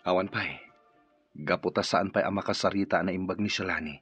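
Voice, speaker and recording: An actor with a Filipino accent playing an earnest, steady policeman in a radio drama. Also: deep voice, a little fast, breathy edge, dry recording, highs cut off, soft background music, exaggerated voice.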